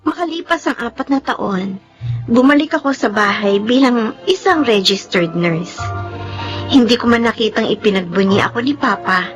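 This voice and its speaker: A woman's voice: mid-range voice, close to an everyday voice. An actress with a Filipino accent playing an earnest, anguished young daughter who defies her family, in a life-story drama. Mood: earnest, resolute. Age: young adult. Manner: emotional, pleading. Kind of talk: Tagalog radio-style life drama acting with narration.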